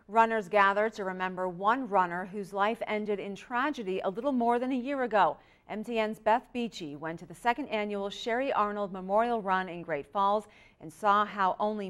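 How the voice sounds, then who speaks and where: A woman's voice: mid-range voice, a bit bright, clean tone. American woman anchoring local TV news. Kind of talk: scripted news reading.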